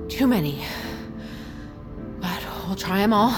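Weary, slow-talking survivor. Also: female voice, medium pitch. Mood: dry, weary. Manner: terse, deadpan, weary. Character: weary, injured woman survivor, dry and stoic. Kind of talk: naturalistic audio drama acting. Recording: music under the voice.

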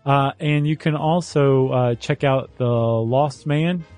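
A man's voice: mid-range voice, slow pace, darkish tone, faint music behind. A man co-hosting a podcast, musing in casual chat and rambling through restarts as he wraps up a topic. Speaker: podcast co-host. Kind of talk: casual chat, wrapping up an episode.

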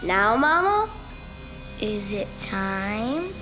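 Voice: medium pitch, very animated. A performer playing an eerie, stubborn little boy in an audio horror drama, childlike and contrary. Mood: eerie glee. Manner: childlike, contrary, slow and deliberate. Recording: music and splashing water behind.

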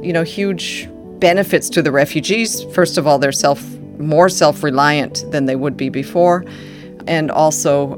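A woman, a refugee agency official, explaining policy thoughtfully with frequent fillers. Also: low-pitched. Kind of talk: interview explanation.

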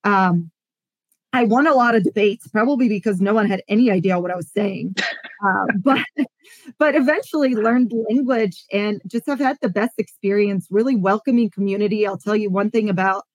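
Polish-born woman telling lively stories. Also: mid-range voice, moderate pace, no room sound, poor audio. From Poland. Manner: animated storyteller, acts out quotes, laughs often. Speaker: podcast guest. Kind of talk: casual interview storytelling.

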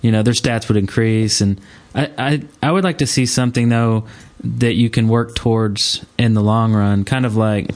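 A man's voice: fairly low pitch, fairly even intonation, normal speed, hardly any pauses, a little mellow. A man in casual podcast chat as a host, with an easygoing, slangy way of talking. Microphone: Skype call.

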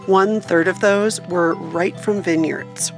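A woman narrates a radio feature as its reporter, reading from a script. Her voice sits fairly low and has a marked creak at the edges. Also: fairly even intonation, takes it slowly, music in the background.

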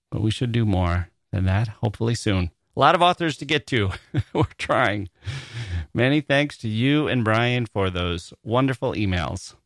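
A man's voice: fairly low pitch, dry recording. Male literary podcast host, dry close sound. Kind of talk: conversational solo podcast talk, reading listener mail.

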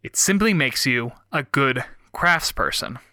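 A young man hosting a writing podcast, reading a prepared talk in a measured, reflective way while stuffy from a head cold. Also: medium pitch, expressive, pushed and bright, no room sound, studio quality. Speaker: podcast host.

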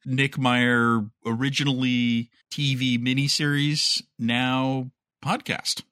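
A man's voice: medium pitch, flat delivery, drawn-out words, pushed and bright, no room sound, clean studio sound. A man co-hosting a podcast, casually talking through entertainment news in an analytical, speculative way. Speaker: podcast co-host.

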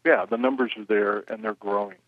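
A man who runs a record label, answering interview questions in a measured way, with frequent "you know" fillers. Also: lowish voice, steady tone, dry recording, narrow, tinny audio.

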